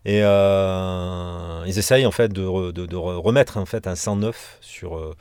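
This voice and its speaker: Male singer from Provence, interviewee. Low voice, hesitant and digressive, close dry sound. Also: a little slow, darkish tone, clear, resonant tone, no echo. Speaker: punk band singer being interviewed. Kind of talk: casual interview talk.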